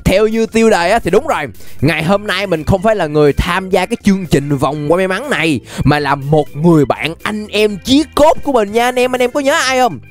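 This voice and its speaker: A playful, chatty young man giving animated gameplay commentary straight to his viewers. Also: sits high, brisk. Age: young adult. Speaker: gaming video host. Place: indoor gaming setup. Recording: music behind the voice. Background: music.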